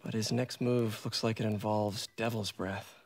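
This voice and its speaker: A man's voice: on the low side, fairly even intonation, moderate pace, lightly acted. An actor playing a kind, reassuring, slightly awkward young man in a naturalistic video game scene. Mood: wry, concerned. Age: young adult. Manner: hesitant, earnest, conversational.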